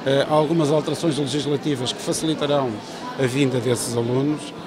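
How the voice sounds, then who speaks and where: A middle-aged man with a European Portuguese accent gives formal interview remarks in a halting way. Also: medium pitch, fairly even intonation. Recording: clear crowd noise behind.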